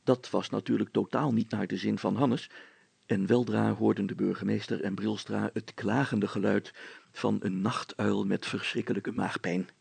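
A man's voice: lowish voice, steady tone, normal speed, gravelly, darkish tone, slightly rough, no room sound. A man narrating a children's audiobook as a scripted storyteller.

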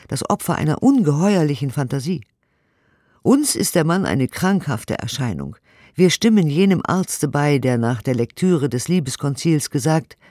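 A man's voice: medium pitch, a little mellow, no room sound. A man giving a formal, declamatory scripted reading of old documents for a radio play, close to a studio microphone. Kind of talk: scripted dramatic reading of historical press and court reports.

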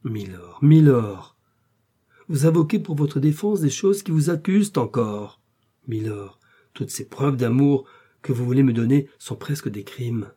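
A man's voice: mid-range voice, expressive, a little slow, warm tone, breathy edge. A man voicing an anguished, dignified queen torn between duty and love, formal and imploring, in a novel reading. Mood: reproachful.

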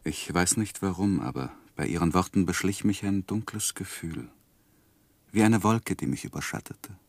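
An actor playing an earnest, sensitive young gentleman who narrates his life in a 1960s German radio play, measured and heartfelt. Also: low voice, creaky, dry recording. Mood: reflective, downcast. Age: young adult.

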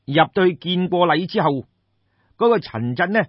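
Husky, animated Cantonese storyteller man. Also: high-pitched, moderate pace. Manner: colloquial, exclamatory, theatrical. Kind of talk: dramatic traditional Cantonese audiobook storytelling. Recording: dull, roomy lo-fi recording.

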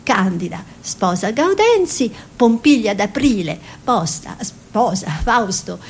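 An actress playing a young peasant woman painter, dreamy and stubborn, in an expressive theatrical delivery. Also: medium pitch, takes it slowly, a little hiss or hum. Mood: animated. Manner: expressive, musing, emphatic repetitions. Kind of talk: theatrical monologue and dialogue.

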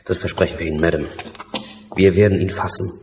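An actor plays a grimly resolute agent hero in a radio play. His voice is low and his words are terse and firm, on a muffled recording with background noise. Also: fairly even intonation, quick pace, natural voice. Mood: resolute, consoling. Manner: determined, terse. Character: resolute top secret agent, grim and sympathetic. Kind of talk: German audio drama acting. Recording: dull sound with clear background noise.

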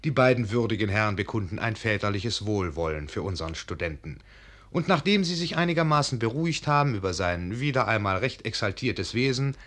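A man's voice: fairly low pitch, a bit bright. A man playing a wry, genial fairy-tale narrator in a radio production, telling the story with knowing irony. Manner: ironic, knowing. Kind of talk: theatrical scripted narration.

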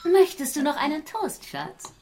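An actress playing a detective's playful, sharp-witted wife in a radio crime drama, chatty and inquisitive. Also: female voice, sits high, wildly swooping pitch, a little slow, over music, heavily acted.

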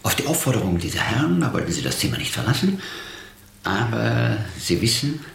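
An actor playing a dignified, eccentric English gentleman in a German radio drama, speaking formally and ceremoniously. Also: on the low side, big pitch swings, a little fast, vocal fry, a little hiss or hum, exaggerated voice. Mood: solemn, magnanimous. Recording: roomy, echoing interior.

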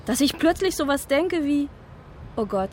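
A woman's voice: high-pitched, expressive, moderate pace. An actress playing a talkative, caring, scatterbrained woman in a naturalistic radio drama, musing and rambling conversationally. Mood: appalled. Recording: clearly noisy outdoor ambience.

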